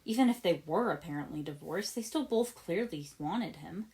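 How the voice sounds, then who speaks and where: Low-pitched woman narrating a story. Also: animated, normal speed, darkish tone. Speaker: amateur audiobook narrator of fan fiction. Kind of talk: expressive scripted story narration.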